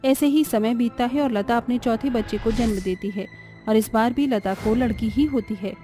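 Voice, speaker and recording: High, mellow female story narrator. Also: moderate pace, faint music behind.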